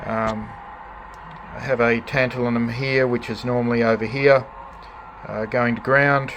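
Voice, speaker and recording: An Australian man giving a casual hands-on show-and-tell as a hobbyist restorer, speaking in halting, piecemeal phrases. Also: mid-range voice, steady tone, a bit bright, rough recording. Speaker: radio restorer presenting own collection. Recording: clear background noise, hobby video.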